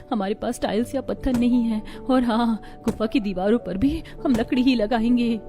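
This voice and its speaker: A woman's voice: a little high, warm tone, close to an everyday voice. A woman voicing a sincere young daughter-in-law in a cartoon story, earnest and plaintive.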